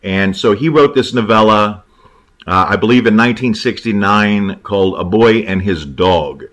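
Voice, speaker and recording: A man giving casual spoken film commentary in a halting, conversational and opinionated way. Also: on the low side, fairly bright tone. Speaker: writer, guest film commentator.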